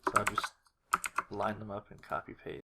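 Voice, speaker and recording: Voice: deep voice, monotone, moderate pace, sharp tone. Someone casually walking through video editing on screen, half muttering to themselves while working at a computer. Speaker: video creator giving a tutorial. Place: indoors at a computer. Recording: clearly noisy, keyboard and mouse clicks.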